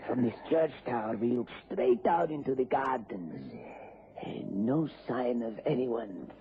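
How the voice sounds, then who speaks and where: A man's voice: medium pitch, animated, measured pace, lightly acted. A man acting a cold, fanatical, ruthless assassin in a vintage radio drama. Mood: tense, watchful. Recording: dull, noisy old radio recording.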